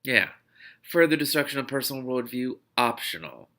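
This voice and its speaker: A man reading a novel gives voice to a weary young woman downplaying her ordeal. The delivery is hesitant and placating, with a creaky edge to the voice. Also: medium pitch, big pitch swings, moderate pace, pushed and bright, pitched above the performer's own voice. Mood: weary, regretful.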